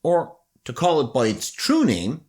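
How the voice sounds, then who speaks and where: A man with an Irish accent presenting scripted documentary narration in a measured, dramatic storytelling manner. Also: fairly high pitch, wildly swooping pitch, darkish tone, a little hiss or hum. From Ireland.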